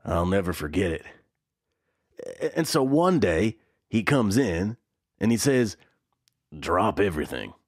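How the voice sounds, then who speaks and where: A wry male veteran musician recalling the old days for a documentary. His voice is low and lively, with a slow, folksy delivery. It is closely recorded and dry. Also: husky, no echo, heavily acted. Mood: nostalgic, admiring. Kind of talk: documentary interview reminiscence.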